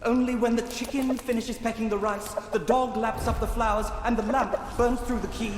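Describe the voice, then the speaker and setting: An actor playing a solemn teller of myths in a game, reciting in a steady, incantatory way. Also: mid-range voice, normal speed, roomy sound, in a large hall, a little hiss or hum, close to an everyday voice. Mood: solemn, foreboding. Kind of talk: scripted mythic narration.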